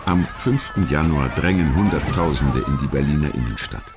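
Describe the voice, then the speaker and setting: A man reading a scripted historical documentary narration in a measured, dramatic way. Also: extremely low pitch, noisy background.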